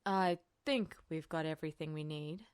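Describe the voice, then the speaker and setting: Woman playing male army colonel, low pitch, clean smooth tone, measured, cautious, dry-humoured, weary, audiobook character. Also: dry recording, lightly acted. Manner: haltingly measured, deliberate.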